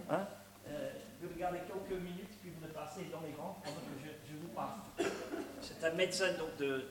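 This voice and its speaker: A man's voice: sits high, fairly bright tone. A man in his sixties with a Scottish accent, preaching a chatty sermon sprinkled with asides and humor. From Scotland. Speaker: pastor.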